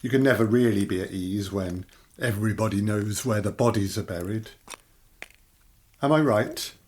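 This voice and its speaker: An actor playing a sinister immortal man in an audio drama, speaking in a measured, urbane and coolly formal way. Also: fairly low pitch, darkish tone, close to an everyday voice. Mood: cool, ominous. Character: sinister, calm immortal man of many identities.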